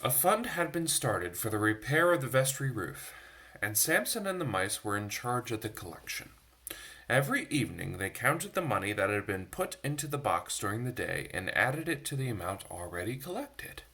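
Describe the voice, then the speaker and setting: A man's voice: mid-range voice, very animated, moderate pace, bright, forceful tone, husky. A man reading a picture book aloud to children, expressively, with chatty asides to his young listeners. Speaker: storytime reader for a church. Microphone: phone.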